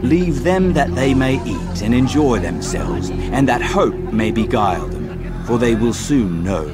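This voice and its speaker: A man's voice: mid-range voice, very animated, a little slow. An actor playing a solemn, curt man of learning in a video game. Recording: loud music over the voice.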